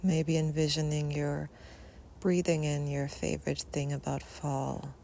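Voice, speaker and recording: Very slow, low-voiced woman guiding meditation. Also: little pitch movement, gravelly. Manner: soft, unhurried, with long pauses. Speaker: meditation guide. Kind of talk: guided Yoga Nidra meditation. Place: outdoors in nature. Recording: outdoor recording with faint ambient noise. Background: faint natural ambience.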